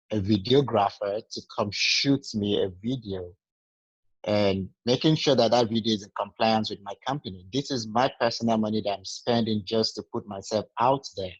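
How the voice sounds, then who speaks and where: A man's voice: on the low side, no room sound, muffled recording. A man with a Nigerian accent, talking earnestly through sales advice in a casual group training session. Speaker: sales agent.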